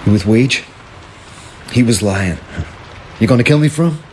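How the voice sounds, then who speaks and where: An actor playing a suspicious, world-weary hacker hustler, curt and sardonic, in a radio drama. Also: male voice, mid-range voice, lively intonation, normal speed, a little mellow, slight background noise, natural voice. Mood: wary. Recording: radio drama with music bed underneath.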